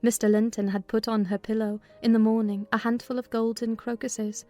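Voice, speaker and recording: A woman's voice: a little high, level tone, fairly quick, darkish tone, faint music behind. A woman reading scripted audiobook narration of a classic novel.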